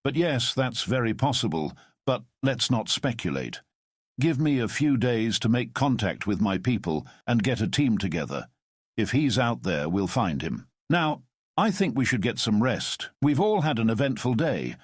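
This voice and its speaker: A man voicing a calm, commanding middle-aged former spy who speaks in a measured, formal way. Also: medium pitch, husky, no echo, studio quality. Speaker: storyteller. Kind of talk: audio drama storytelling.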